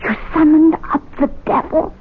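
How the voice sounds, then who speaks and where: An actress playing a nagging, suspicious, foreboding wife in a 1970s radio drama. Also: female voice, high voice, flat delivery, normal speed, a little hiss or hum. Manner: scolding, wry, suspicious. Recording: tinny old radio broadcast.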